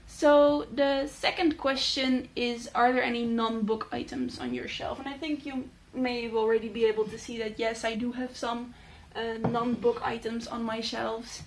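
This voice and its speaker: Chatty Dutch woman with a higher voice. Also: normal speed, hardly any pauses, a little hiss or hum. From Netherlands. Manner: chatty, many fillers, thoughtful. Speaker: book channel host. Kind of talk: casual talking-head vlog.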